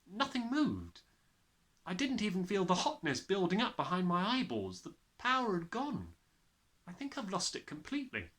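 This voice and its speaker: A man's voice: high voice, wildly swooping pitch, normal speed, a bit bright, voice pushed up, full character voice. A man voicing an earnest, clever little schoolgirl in a children's storybook. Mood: earnest, puzzled.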